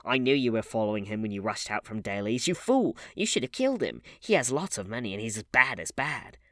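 A man plays a haggard storybook barwoman with bitter, sneering force. Coarse and desperate, the voice jumps about in pitch, in a dry recording. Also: very animated, a little fast, fairly bright tone, no echo. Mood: scornful, urgent. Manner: hissing, sneering, coarse working-class speech.

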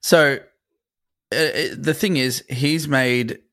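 A man's voice: medium pitch, fairly even intonation, takes it slowly, a bit bright. Rambling man with many fillers. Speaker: podcast co-host. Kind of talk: casual podcast chat.

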